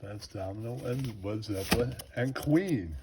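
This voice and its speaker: A man's voice: fairly low pitch, unhurried. A man voicing a bragging, taunting puppet who talks in slangy, repeated catchphrases. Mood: boastful. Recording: clearly noisy, crackling and crinkling.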